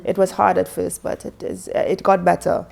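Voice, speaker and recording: A woman's voice: lowish voice, completely flat delivery, moderate pace, vocal fry, darkish tone. A woman from Trinidad and Tobago, answering interview questions candidly.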